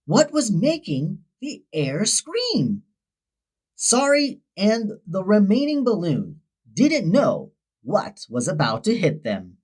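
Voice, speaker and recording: Very animated woman reading a children's story. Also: mid-range voice, takes it slowly, breathy edge. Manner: dramatic, expressive storytelling. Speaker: storybook narrator. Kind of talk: scripted children's story read-aloud.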